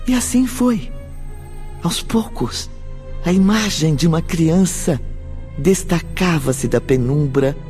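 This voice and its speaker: A man narrating a radio drama from a script in a measured, solemn, emphatic way. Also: a little high. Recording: faint music behind. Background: soft background music.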